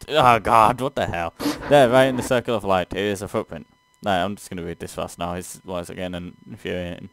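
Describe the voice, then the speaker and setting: A man's voice: low-pitched, fairly even intonation, a little fast, a bit bright, no room sound. A man co-hosting a casual let's play, reading game text aloud with stumbles and sweary, exasperated asides. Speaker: gaming show co-host playing and reading aloud.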